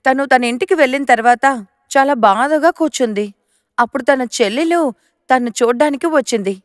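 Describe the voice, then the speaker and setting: A woman's voice: high voice, brisk, no echo, studio quality. A woman reading scripted moral-story narration as the narrator.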